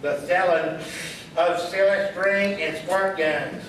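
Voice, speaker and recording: A man's voice: high-pitched, fairly even intonation, slow, fairly bright tone. An actor playing a stubborn, folksy American councilman in a comedic radio play. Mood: stubborn, pushy. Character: stubborn, folksy male small-town festival councilman railroading a meeting. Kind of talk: comedic live radio theatre acting. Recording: echoey hall, distant mic, noisy.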